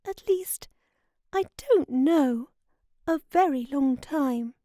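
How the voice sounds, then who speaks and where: A woman's voice: high voice, animated, takes it slowly, warm tone, dry recording, clean studio sound, voice pushed up. A woman voicing a dreamy but sensible young girl in a children's storybook, earnest and questioning. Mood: dreamy, contented.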